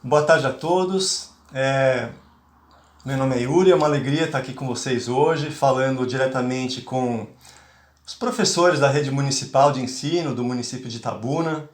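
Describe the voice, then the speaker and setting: A man's voice: mid-range voice, moderate pace, reverberant. A man from Bahia giving a talk to teachers as a conflict mediator, speaking with frequent fillers and restarts. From Bahia, Brazil. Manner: hesitant, many fillers and restarts. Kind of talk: online lecture to teachers.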